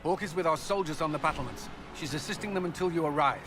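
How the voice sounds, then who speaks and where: An actor playing a brisk military commander giving a battle briefing in a dramatic video game. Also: male voice, on the high side, over loud music, natural voice. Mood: urgent, resolute. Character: brisk male army commander briefing his leader.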